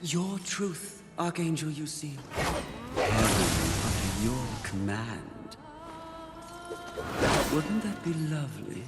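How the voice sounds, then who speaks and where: An actor playing a seductive, scheming demon villain in a video game cutscene, coaxing and taunting theatrically. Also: male voice, medium pitch, sing-song, takes it slowly, pauses often. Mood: coaxing, flattering. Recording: echoey hall reverb, loud music behind.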